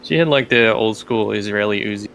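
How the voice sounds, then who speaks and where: A man's voice: fairly low pitch, fairly quick, gravelly, fairly bright tone, faint music behind. A man working as game master, narrating casually and improvising as he goes, often thinking aloud.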